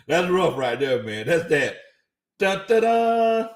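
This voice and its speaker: A man's voice: a little high, sing-song, slow. Chuckling man, slightly worn voice. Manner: laughs often, chuckling through his lines. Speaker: sports livestream host. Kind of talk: casual livestream commentary, reading viewer chats. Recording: echoey room.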